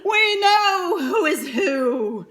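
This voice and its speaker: A woman voicing a haughty, boastful beaked creature from a storybook, declaiming loudly with drawn-out words. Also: very high-pitched, big pitch swings, voice pushed up, heavily acted. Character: haughty, boastful beaked Sneetch creatures crowing their superiority.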